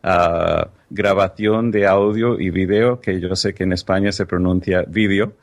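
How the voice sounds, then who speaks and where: American guy, english native, guesting on a podcast, lowish voice, careful and precise. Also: fairly even intonation, a little slow, gravelly. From United States. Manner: articulate, precise, fond of explaining word usage. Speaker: guest, tech journalist and author. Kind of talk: podcast interview.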